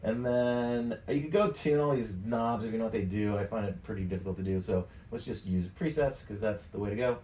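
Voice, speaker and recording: A man in his fifties giving a casual, off-the-cuff software demonstration, jokey and slangy, with plenty of swearing. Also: fairly low pitch, brisk, hardly any pauses. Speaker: tutorial presenter. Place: indoor home studio at a desk. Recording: roomy, distant mic, clear background noise.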